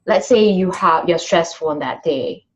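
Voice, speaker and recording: A woman's voice: lowish voice, normal speed. A woman hosting an interview, asking questions hesitantly with many fillers and restarts. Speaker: host, interviewer. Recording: dull, muffled lo-fi sound.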